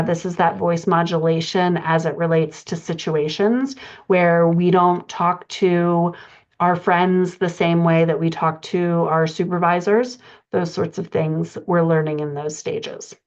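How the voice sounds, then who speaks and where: Woman coach doing a podcast lesson, kinda low voice, chatty explaining, slightly muffled lofi audio. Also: a little mellow. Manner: conversational, explanatory. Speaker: writing coach, podcast presenter. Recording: dull lo-fi audio.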